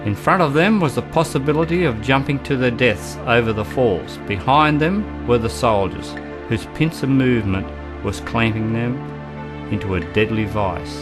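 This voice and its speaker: A man narrating a scripted historical radio drama in a grave, measured manner. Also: medium pitch, over music.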